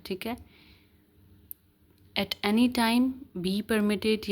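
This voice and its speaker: A woman with a Pakistani accent giving an explanatory law lecture, reading legal text aloud and switching between Urdu and English. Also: medium pitch. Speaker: law teacher.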